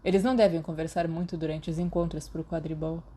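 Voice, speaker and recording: A woman with a Brazilian Portuguese accent voicing a reserved teenage boy student in a slightly lower, hesitant, quiet tone. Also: steady tone, quick pace, slightly rough.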